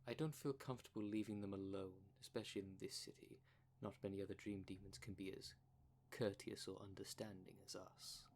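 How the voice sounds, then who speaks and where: Clear background noise sits around a lowish male voice. It is a man acting a fussy, protective dream demon for an ASMR audio drama, choosing formal, wordy phrases with precise diction and a courteous manner. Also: fairly even intonation, brisk, darkish tone, lightly acted. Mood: pedantic, concerned.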